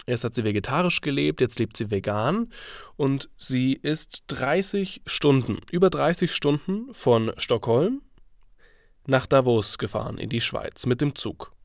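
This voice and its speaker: A man with a cold hosts a solo podcast. He sounds stuffy and congested and talks in a loose, thinking-aloud way with fillers. His voice is mid-pitched, and the recording is dry and close with no room sound. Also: big pitch swings, measured pace, creaky, no room sound. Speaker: podcast host.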